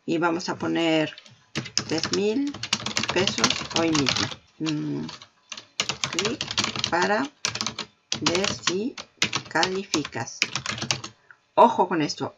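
A woman hosting a marketing tutorial, walking the viewer through software on screen and talking straight to them. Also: low-pitched, vocal fry, highs cut off, noisy background. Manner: explanatory, addresses viewer directly. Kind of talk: screen-recorded software walkthrough.

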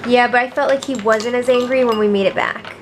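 A woman's voice: a little high, steady tone, brisk. A young adult woman chatting casually with her family in a small home kitchen, playful and teasing, recorded on a handheld camera. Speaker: daughter. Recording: noisy home video.

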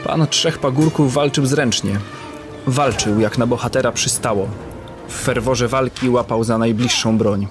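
Male narrator, mid-range voice, literary scripted storytelling, clear music bed. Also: mid-range voice, measured pace.

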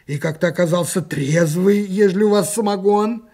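A man voicing a gloomy, dismissive policeman in a story, slow, gruff and low-key. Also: mellow.

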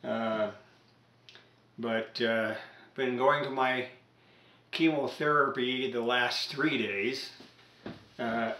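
A man's voice: medium pitch, a bit bright. An older man chatting casually and hesitantly at a cluttered home electronics workbench, with lots of fillers as he explains his radio repair. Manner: rambling, hesitant, many fillers. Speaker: radio repair hobbyist vlogger. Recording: roomy home workshop echo.

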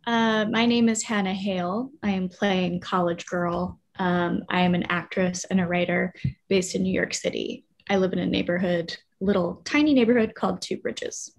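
A woman's voice: on the low side, fairly even intonation, normal speed, gravelly. A young woman, an actress, casually introducing herself on a video call. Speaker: actress and writer.

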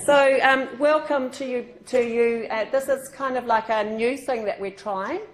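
A woman's voice: on the high side, steady tone, unhurried, a little hiss or hum. A woman with a New Zealand accent welcoming a meeting in an informal, chatty way, with many ums and restarts. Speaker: education session organiser. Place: meeting room with a group. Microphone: far room microphone. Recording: echoey room.

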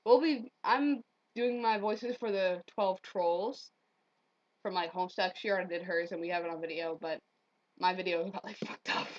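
A woman's voice: lowish voice, normal speed. A young woman chatting casually and jokingly with a friend in a playful, sweary way. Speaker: amateur vlogger doing character voices. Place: small bedroom at home, indoors. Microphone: computer webcam mic at arm's length. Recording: dull lo-fi webcam audio.

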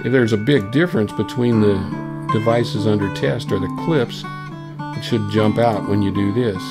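A man presenting a casual software tutorial, thinking aloud informally as he demonstrates. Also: fairly low pitch, moderate pace. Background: solo acoustic guitar clips playing.

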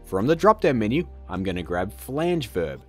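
A man hosting a casual software tutorial, upbeat and playful with joking asides. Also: fairly low pitch, very animated, moderate pace, breathy edge, soft background music. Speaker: tutorial host.

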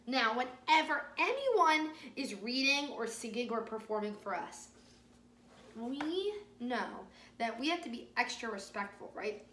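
A young woman telling stories to small children as a teacher, excited and expressive, with dramatic pauses. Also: on the high side. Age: young adult. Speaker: teacher hosting a children's story time. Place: indoor living space at home. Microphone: phone or camera a few feet away. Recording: roomy home recording with faint noise.

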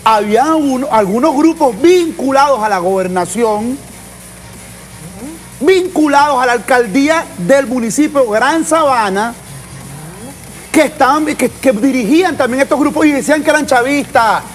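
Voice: sits high, animated, moderate pace. Insistent Venezuelan panelist, faint background noise. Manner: insistent, repeats points for emphasis. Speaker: political show panelist and reporter. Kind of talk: unscripted political discussion.

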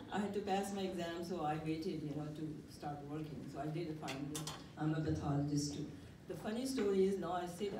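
Older woman telling a story in an echoey room. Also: fairly low pitch. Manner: conversational, hesitant with fillers. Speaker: workshop participant. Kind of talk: personal storytelling. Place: community room. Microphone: handheld mic. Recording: echoey room, mic at a distance, noisy.

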